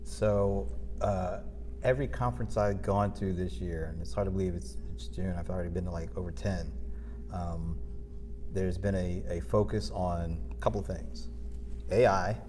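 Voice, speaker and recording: A man's voice: sits low, fairly even intonation, moderate pace, mellow. A man interviewing someone in a casual, conversational style. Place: large reverberant space at a conference. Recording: echoey hall, faint background noise.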